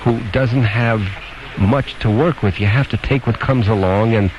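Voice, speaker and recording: A man's voice: medium pitch, a little slow. A man hosting a radio call-in show, questioning and advising callers in a blunt, probing, opinionated way. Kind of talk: probing phone-in advice conversation. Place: radio studio. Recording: noisy, dull lo-fi AM radio aircheck.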